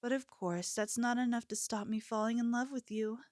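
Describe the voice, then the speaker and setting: A woman's voice: a little high, steady tone, moderate pace, darkish tone, no echo, studio quality, close to an everyday voice. A woman playing an obsessive, possessive convenience store cashier in an ASMR roleplay, pleading and unsettlingly sweet. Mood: lovesick, wistful. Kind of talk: ASMR roleplay, scripted character acting.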